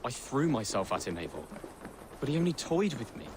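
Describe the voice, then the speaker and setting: Actor plays a brave young fighter, earnest, animated, over battle noise. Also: male voice, mid-range voice, fairly quick, lightly acted. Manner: earnest, pleading.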